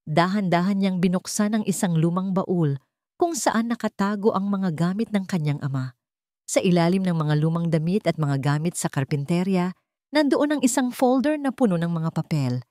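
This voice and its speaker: Low-voiced woman narrating a drama. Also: animated, dark tone, dry recording, studio quality. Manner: measured, dramatic storytelling. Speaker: storyteller.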